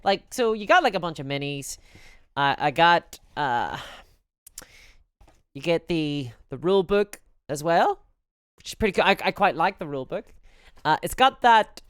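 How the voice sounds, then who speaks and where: A woman streamer with a Belfast accent talks casually and candidly to her live audience. Her voice sits low for a woman but swings animatedly up and down. It is smooth and clean, conversational throughout. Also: animated, moderate pace, long pauses, no room sound, studio quality. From Northern Ireland.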